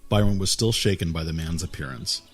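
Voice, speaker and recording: Male narrator, very deep, husky, calm storybook reading, soft music behind. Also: brisk.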